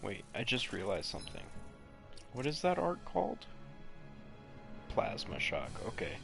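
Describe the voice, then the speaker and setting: A man's voice: mid-range voice, huge pitch swings, unhurried, vocal fry. A young man streaming a video game from home, chatty and casual, swearing, laughing and humming to himself. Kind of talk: casual live gameplay chat. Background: game music.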